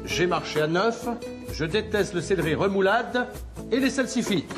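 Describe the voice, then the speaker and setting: A man's voice: sits high, lively intonation, normal speed. An actor in his fifties playing a gloomy, cantankerous son who grumbles and stammers when asking for money. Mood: grumpy. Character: gloomy, cantankerous middle-aged son, broke. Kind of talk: film dialogue. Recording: film soundtrack with loud music underneath.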